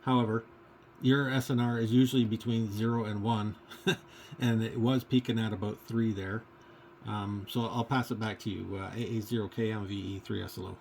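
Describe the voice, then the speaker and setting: A man's voice: fairly low pitch, steady tone, moderate pace, vocal fry, darkish tone, slight background noise. A man making casual conversation as an amateur radio operator.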